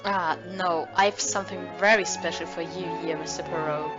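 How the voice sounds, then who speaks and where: A woman's voice: lowish voice, steady tone, normal speed, natural voice. Woman playing an obliging café hostess, muffled recording. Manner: courteous, eager to please. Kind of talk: audio play acting. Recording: muffled audio, music behind.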